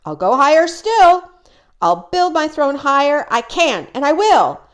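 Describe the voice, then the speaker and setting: A woman voicing a pompous, bossy male turtle king in a storybook read-aloud, speaking in a loud, commanding, boastful way. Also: high voice, big pitch swings, unhurried, voice pushed up.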